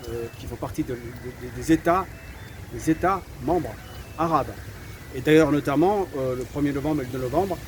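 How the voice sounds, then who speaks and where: A man speaks at a middle pitch, stumbling through fillers and repeated words before landing on firm, flat statements. Steady traffic noise comes through clearly behind him on a city street. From France. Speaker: vlogger. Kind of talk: opinion talk to camera.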